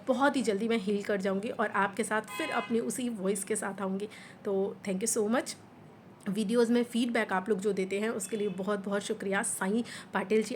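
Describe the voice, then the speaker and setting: A young woman with a hoarse voice from a sore throat, giving earnest, encouraging study advice to the camera as a housewife vlogger, mixing English words into her Hindi. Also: medium pitch, a little hiss or hum. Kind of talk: motivational talk to camera.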